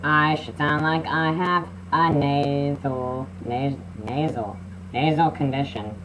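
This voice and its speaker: A man's voice: fairly high pitch, steady tone, takes it slowly, background noise, pitched above the performer's own voice. Young man doing a stuffed-up nasal voice. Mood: stuffy. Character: stuffed-up guy who can barely talk.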